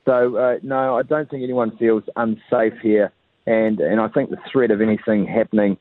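A man's voice: on the low side, fairly even intonation, moderate pace, no echo. A man reporting by phone, chatty, with lots of "uh" fillers. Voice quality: tinny phone line. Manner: conversational, frequent "uh" fillers. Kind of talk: live phone cross to a radio show. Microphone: telephone. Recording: phone line.

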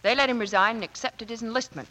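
An actress playing an earnest, loyal young Navy nurse in a 1940s radio drama. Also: female voice, a little high, fast, bright, forceful tone, rough recording. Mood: earnest, reproachful. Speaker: actor.

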